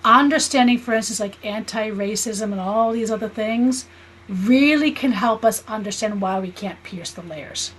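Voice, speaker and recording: A woman's voice: medium pitch, normal speed, a little hiss or hum, poor audio. A woman in her fifties with an American accent, talking as a guest in a conversational interview, explaining earnestly and often checking for agreement. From United States. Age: 50s. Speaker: guest, spiritual healer and teacher.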